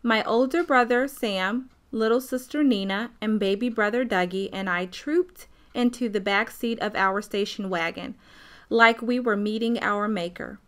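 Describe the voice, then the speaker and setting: A woman's voice: medium pitch, a little slow, natural voice. A woman playing a grown-up narrator who fondly and wryly recalls childhood in a scripted radio play. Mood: nostalgic.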